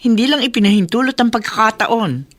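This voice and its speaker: An actress playing a reasoning, protective middle-aged aunt in a Filipino radio drama. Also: female voice, fairly high pitch, lively intonation, fairly quick, fairly bright tone. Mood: firm, defending. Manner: reasoning, reassuring. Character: calm, protective middle-aged aunt defending her niece.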